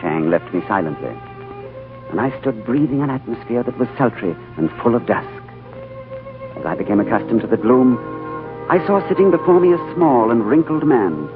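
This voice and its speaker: A man playing a composed, thoughtful gentleman in a 1940s radio drama, urbane and reflective. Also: mid-range voice, lively intonation, moderate pace, natural voice. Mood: hushed, reflective. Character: composed, thoughtful gentleman diplomat, narrating his adventure. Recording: old narrow-band radio, music behind.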